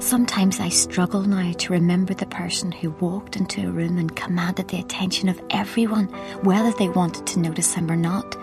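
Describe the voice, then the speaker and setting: A woman's voice: fairly low pitch, steady tone, moderate pace, vocal fry, hardly any pauses, music in the background. An actress playing a grieving, guarded Irish woman journalist in a radio drama. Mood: wistful. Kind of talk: radio drama narration and dialogue.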